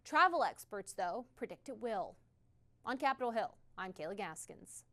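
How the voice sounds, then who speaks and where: A woman's voice: mid-range voice, sing-song, moderate pace, fairly bright tone. An American woman narrating a scripted television news report as a national correspondent.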